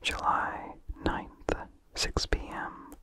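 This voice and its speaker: An ASMR reader delivers an old horror tale entirely in whispers. The reading is unhurried and measured, soft and breath-led from one phrase to the next. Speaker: ASMR narrator.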